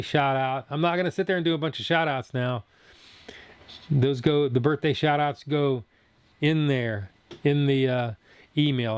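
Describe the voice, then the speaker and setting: A man in his sixties from Southern Ohio, chatting casually with viewers on his food channel's live stream and reading their comments aloud as he rambles. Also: medium pitch. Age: 60s. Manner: rambling, chatty, answers chat as he reads.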